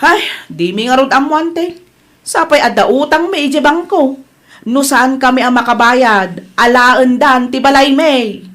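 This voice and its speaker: High-voiced fretful Ilocano wife played by a woman. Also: lively intonation, measured pace, fairly bright tone. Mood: worried. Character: fretful, exasperated indebted wife running a failing business. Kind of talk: amateur audio drama acting.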